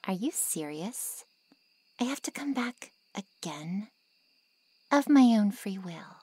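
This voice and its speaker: A defiant, sassy woman, acted by a woman for an ASMR roleplay, taunts with slow sarcasm in a husky voice. The sound is close and dry, and she draws her words out. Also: mid-range voice, very animated, dark tone, no room sound, exaggerated voice. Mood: sarcastic, taunting. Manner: sarcastic, taunting, drawn-out delivery. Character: sassy, defiant, foul-mouthed woman, grudging ally of vampires.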